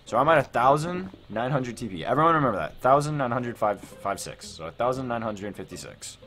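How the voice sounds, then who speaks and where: A man hosting a casual gaming let's play, thinking aloud in an excitable, repetitive way. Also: mid-range voice, brisk. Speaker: gaming video host. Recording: music behind the voice.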